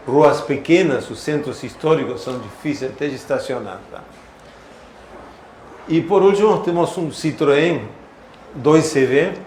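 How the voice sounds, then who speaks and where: A man from Argentina with an Argentine accent, calmly telling the story of his old motorcycles and car in a casual talk. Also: on the high side, echoey room, slight background noise. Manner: unhurried, fond of his vehicles, frequent tag questions. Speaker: classic vehicle collector.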